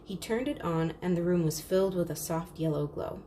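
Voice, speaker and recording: A woman reading a children's story aloud in a measured, expressive storytelling manner. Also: lowish voice. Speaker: storybook reader. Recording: clearly noisy background.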